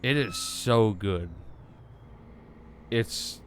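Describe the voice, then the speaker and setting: A man's voice: fairly low pitch, drawn-out words, slight background noise. A young man co-hosting a podcast, rambling and joking in casual chat, stumbling for words. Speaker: podcast co-host.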